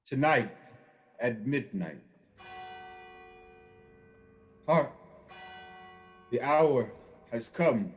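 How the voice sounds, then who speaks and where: An actor playing an aged, solemn and stern dying spirit in an audio drama, speaking gravely and portentously. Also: male voice, mid-range voice, expressive, faint music behind. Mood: solemn, urgent. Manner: slow, grave, portentous delivery. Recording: long cavernous reverb.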